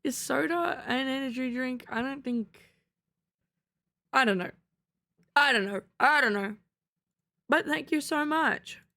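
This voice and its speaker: A woman's voice: high-pitched, a little slow, pauses often, pushed and bright. A woman streaming live, chatting playfully with her chat, with a slight cough. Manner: playful, silly, sings nonsense syllables.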